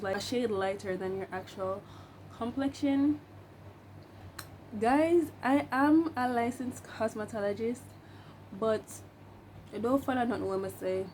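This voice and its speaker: Young woman, slightly high voice, hoarse from the flu, chatty makeup tutorial, faint noise behind. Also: darkish tone. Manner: chatty, emphatic, joking asides. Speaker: makeup vlogger, licensed cosmetologist.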